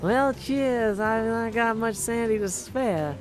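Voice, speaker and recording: A man's voice: very high voice, unhurried, voice pushed up. A man voicing a laid-back, merry god of wine from a video game, with a familiar, easygoing drawl. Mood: breezy. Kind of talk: video game character dialogue. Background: music.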